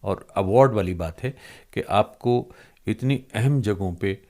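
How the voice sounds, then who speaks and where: A man's voice: fairly low pitch, a little slow, warm tone. An older man interviews conversationally, putting in admiring remarks as he goes.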